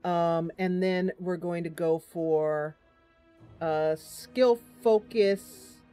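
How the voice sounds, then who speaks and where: A woman's voice: fairly low pitch, steady tone, very slow, warm tone, soft background music. A woman hosting a game stream, giving casual live commentary and thinking aloud through her choices as she chats to viewers. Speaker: game streamer, host of the channel.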